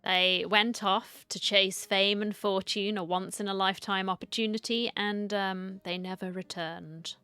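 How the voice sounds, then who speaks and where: A woman's voice: mid-range voice, fairly even intonation, a little slow, hardly any pauses, pushed and bright, smooth, no echo, faint music behind. A woman giving casual reaction commentary as she streams a game, musing aloud and talking back to the characters.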